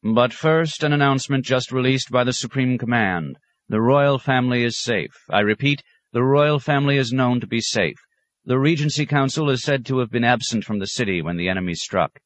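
Urgent emergency-network newsreader, played by a male narrator, formal and crisp, pitched up, audiobook drama. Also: normal speed, dry recording, natural voice. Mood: urgent, official. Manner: formal, crisp bulletin delivery. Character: urgent male radio emergency news announcer. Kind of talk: radio news bulletin.

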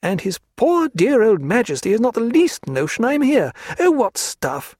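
A male audiobook narrator voicing a lively, teasing young English lady with a gushing manner. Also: moderate pace, no echo, heavily acted. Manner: gushing, teasing, high-spirited.

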